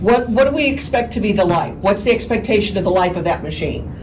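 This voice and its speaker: A woman's voice: mid-range voice, animated, fast talker. Chatty woman asking from the audience. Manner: chatty, searching for words with "um". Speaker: poll worker asking questions. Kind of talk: audience question. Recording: distant mic with noise.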